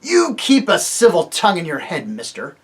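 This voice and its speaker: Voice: mid-range voice, huge pitch swings, normal speed, pitched above the performer's own voice. A man voicing a surly, sneering male dwarf, blunt and jeering, in a storybook reading. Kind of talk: audiobook reading.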